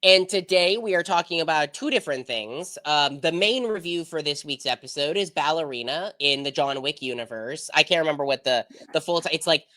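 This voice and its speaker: Bright-voiced, congested young American man. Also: on the high side, expressive, a little fast, hardly any pauses, no room sound. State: sick with congestion. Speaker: podcast host. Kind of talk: casual chat.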